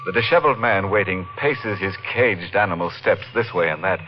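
A man narrating as a 1940s radio announcer, theatrical, deliberate and suspenseful. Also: deep voice, animated, background noise. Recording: muffled old radio broadcast. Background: music.

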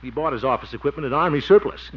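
A man's voice: medium pitch, fast, natural voice. An actor playing a hearty, scheming middle-aged man with blustery exclamations and chuckles in 1950s radio comedy. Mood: eager, conspiratorial. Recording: noisy, dull old radio recording.

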